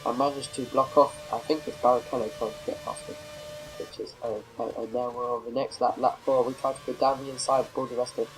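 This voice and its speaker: A man's voice: medium pitch, flat delivery, brisk, a little hiss or hum, low-quality recording. Nasal man with a cold. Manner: rambling, self-correcting, many fillers. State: has a cold, a bit nasal. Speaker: gameplay commentator. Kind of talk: casual racing game commentary.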